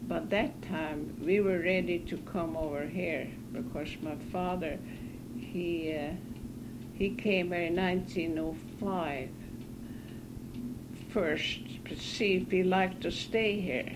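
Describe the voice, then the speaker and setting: A woman in her nineties from northern Norway, recalling her life haltingly in an oral history interview in her apartment. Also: fairly low pitch. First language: Norwegian. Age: 90s. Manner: halting, hesitant, self-correcting. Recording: hissy 1980s cassette tape.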